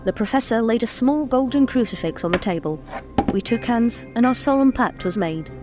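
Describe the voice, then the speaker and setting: A woman's voice: on the high side, animated, normal speed, over music, close to an everyday voice. An actress playing an uneasy young wife, narrating her journal with careful suspense in a radio play. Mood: suspenseful. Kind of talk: dramatic first-person narration, radio play.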